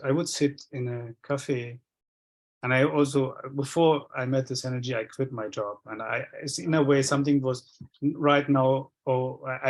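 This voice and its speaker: Halting man from Sri Lanka, Tamil native. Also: mid-range voice. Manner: halting, many restarts and repeated words. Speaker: energy work practitioner. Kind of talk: reflective casual sharing.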